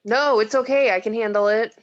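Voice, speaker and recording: A woman's voice: fairly high pitch, steady tone, brisk, no room sound, muffled recording, lightly acted. A woman playing an exasperated, sceptical reluctant heroine in an amateur screenplay table read. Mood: practical. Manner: wry, exasperated.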